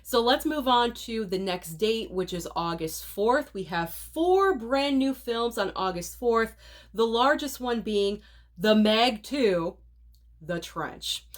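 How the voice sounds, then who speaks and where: A woman's voice: on the high side, expressive, takes it slowly. Sick woman host, nasal, casual and opinionated, talking straight to viewers. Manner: chatty, opinionated, direct to viewers. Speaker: movie channel host. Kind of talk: casual movie rundown vlog.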